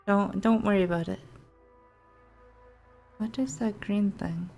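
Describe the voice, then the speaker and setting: A woman's voice: mid-range voice, steady tone, slow pace, creaky, mellow. A young adult woman giving casual gameplay commentary, rambling and thinking aloud in an informal way. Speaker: gaming streamer. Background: faint game music.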